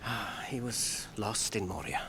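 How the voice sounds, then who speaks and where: An actor playing a weary, fearful but determined hobbit on a doomed quest, earnest and anguished in a fantasy radio drama. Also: male voice, lowish voice, unhurried, background noise, close to an everyday voice. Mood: guarded, earnest.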